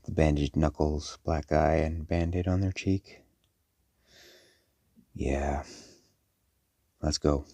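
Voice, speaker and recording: Man playing a deep, gravelly, protective werewolf dad. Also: fairly even intonation, no room sound, full character voice. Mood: stern concern. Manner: measured, parental, gently firm. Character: firm, protective werewolf father, caring but stern. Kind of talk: audio roleplay acting addressed to a child.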